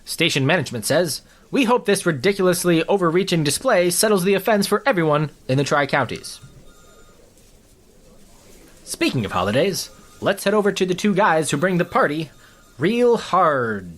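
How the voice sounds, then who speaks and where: Man playing a high-voiced, theatrical news anchor. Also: sing-song, moderate pace, fairly bright tone, heavily acted. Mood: dry, mock-serious. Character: male small-town TV news anchor, dryly officious. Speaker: local news anchor reading viewer letters. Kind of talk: parody newscast, scripted comedy.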